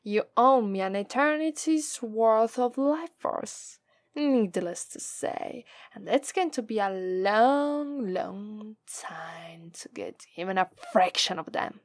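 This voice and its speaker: Woman acting a slow, haughty Italian-accented demoness. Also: mid-range voice, expressive, no echo. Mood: imperious, scornful. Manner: condescending, drawn-out, taunting delivery. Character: haughty, teasing, menacing female demon master. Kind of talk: ASMR roleplay acting.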